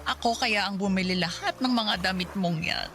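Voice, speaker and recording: A woman's voice: medium pitch, normal speed, music in the background, lightly acted. An actress playing a doting, indulgent girlfriend in a Tagalog radio drama, babying her lover with soothing, cooing talk. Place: studio recording with music behind.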